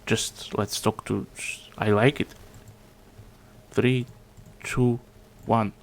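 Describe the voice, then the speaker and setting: A man hosting his video channel, arguing his points calmly in a casual video chat. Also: on the low side, very slow, creaky. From Russia. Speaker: channel host.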